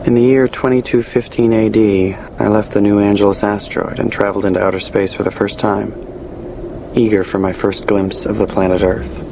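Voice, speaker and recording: An actor playing a reporter of the space age, narrating a film voice-over in a measured, storytelling way. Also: male voice, on the low side, level tone, vocal fry, noisy background. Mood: reflective, grave. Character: male space-age reporter recounting a journey to ruined Earth.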